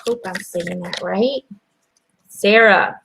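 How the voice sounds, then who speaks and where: Chatty, gravelly-voiced Belgian woman reading cards. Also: mid-range voice, slow pace, noisy background. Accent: American-sounding English. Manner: chatty, hesitant, repeating names and phrases. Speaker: angel card reader. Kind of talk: live-stream card reading. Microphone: webcam.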